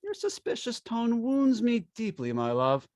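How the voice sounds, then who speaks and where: An actor playing a tipsy henpecked husband, wheedling and grandiose, in old-time radio style. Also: male voice, very high voice, very animated, unhurried, a little mellow, dry recording, studio quality, exaggerated voice. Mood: flattering, grandiose.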